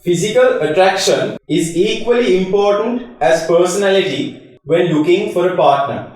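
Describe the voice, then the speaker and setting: A man's voice: high voice, normal speed, a little mellow, soft background music. A man reading out statements to a group. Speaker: reader of poll statements. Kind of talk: reading out prompts. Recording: very echoey room, distant mic.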